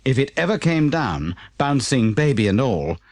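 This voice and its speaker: A man with a British accent reading a children's audiobook as an expressive, scripted storyteller. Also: medium pitch, moderate pace, dry recording, studio quality. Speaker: audiobook narrator.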